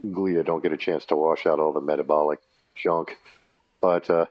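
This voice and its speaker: A man in his fifties with a very low voice talks in a loose, halting monologue, stopping often with fillers and correcting himself. The sound is dry and a bit muffled. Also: fairly even intonation, dry recording. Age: 50s. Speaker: channel host. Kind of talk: casual rambling monologue.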